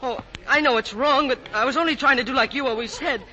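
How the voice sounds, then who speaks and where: A man's voice: very high-pitched, fast, sharp tone, highs cut off. An American male actor playing an earnest, nervous boy jockey, hesitant and naive, in a 1940s radio drama. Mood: distressed confession. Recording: noisy old 1940 radio broadcast.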